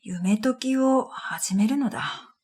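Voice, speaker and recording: A woman playing a pensive dream reader, narrating her own story in a quiet, measured radio drama style. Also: fairly high pitch. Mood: pensive, calm. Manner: quiet, measured, pensive, trailing into breathy phrase ends. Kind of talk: scripted first-person radio drama narration.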